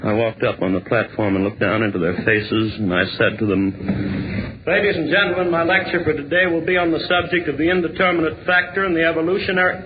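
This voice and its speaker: An actor playing an anguished lecturer driven mad, narrating in brooding, melodramatic 1940s radio style. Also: male voice, a little high, a little fast, lightly acted. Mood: dazed, doomed. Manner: brooding, haunted, melodramatic delivery. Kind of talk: 1940s radio acting, first-person narration. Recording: noisy, dull 1940s radio recording.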